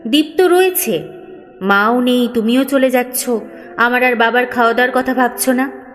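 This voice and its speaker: A woman's voice: on the high side, moderate pace, a bit bright, soft background music, close to an everyday voice. A woman storyteller voicing a selfish young husband with a dismissive, irritated, complaining manner.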